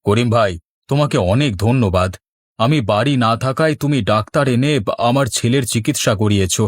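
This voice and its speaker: A man's voice: mid-range voice, dry recording, studio quality, natural voice. A man playing a kindly, sensible middle-aged village father in a children's cartoon, earnest and reassuring. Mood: grateful, warm.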